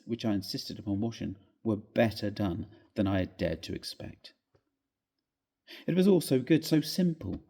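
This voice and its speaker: A man gives a measured, theatrical reading of a classic ghost story, with expressive phrasing. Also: fairly low pitch, breathy edge. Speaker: story reader. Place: inside a church, live reading.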